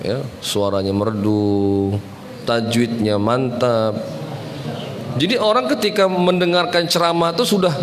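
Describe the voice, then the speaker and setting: A man giving a casual, joking religious talk as a preacher, often mimicking regional accents. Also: a little high. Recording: long hall reverb, faint ambience.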